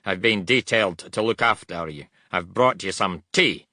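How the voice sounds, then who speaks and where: A man's voice: sits low, moderate pace, bright, forceful tone, no echo, clean studio sound, full character voice. A man voicing a loyal young Scottish soldier servant with a strong Scots accent and a respectful, cheerful manner.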